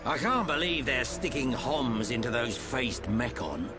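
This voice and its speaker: A man doing a gruff old trader. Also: medium pitch, expressive, measured pace, over music, close to an everyday voice. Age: older. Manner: gruff, teasing, colloquial. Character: gruff older male trader and fighter. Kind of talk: video game cutscene acting.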